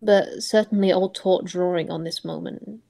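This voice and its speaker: A woman improvising story narration for a roleplay, in reflective, halting phrases. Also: lowish voice, fairly even intonation, vocal fry, warm tone.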